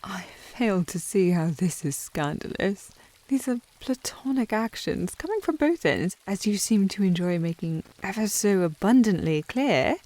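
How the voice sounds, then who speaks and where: A woman's voice: medium pitch, animated, measured pace, hardly any pauses, a little mellow. A woman playing a haughty, coy young lady of the house with a British accent, prim and formal, in an intimate historical romance ASMR roleplay. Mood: indignant. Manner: prim, snooty, formal phrasing with coy indirectness.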